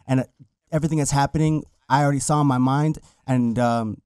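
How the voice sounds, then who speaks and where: A man talking quickly about how he got cast, in a relaxed, storytelling way. His voice sounds close and dry in the studio. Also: medium pitch, smooth, no echo. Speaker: actor guest. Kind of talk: casual interview chat. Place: radio studio.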